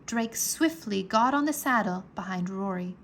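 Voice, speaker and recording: A woman narrating a children's adventure story aloud in an expressive, measured, dramatic storytelling manner. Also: medium pitch.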